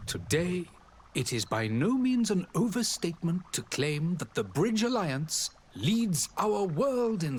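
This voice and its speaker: An actor playing a dignified, courteous diplomat in a role-playing game, speaking formally and eloquently. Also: male voice, fairly high pitch, a little hiss or hum. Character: dignified male diplomat of a foreign alliance, proud and courteous.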